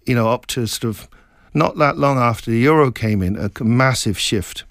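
A middle-aged man from Scotland, an author being interviewed, talking in a reflective, meandering way with halting restarts. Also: medium pitch, a bit bright, no echo, clean studio sound. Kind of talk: casual interview chat.

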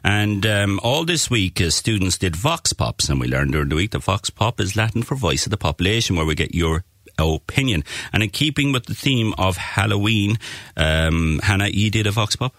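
Lively low-voiced radio presenter. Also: male voice, moderate pace, dry recording, studio quality. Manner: upbeat, reads phone numbers and links.

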